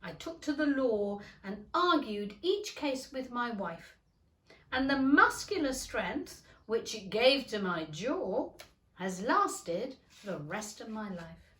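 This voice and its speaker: A woman voicing a boastful, irascible old father in a comic storybook verse, declaiming with emphatic, drawn-out stresses. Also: a little high, big pitch swings, fairly bright tone, full character voice. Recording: echoey room.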